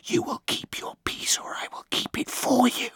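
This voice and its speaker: A man's voice: normal speed, a bit bright, no echo, studio quality, natural voice. Man voicing a whispering, imperious goddess. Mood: menacing. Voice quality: breathy whisper. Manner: imperious, threatening. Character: cold, menacing sea goddess mother, commanding.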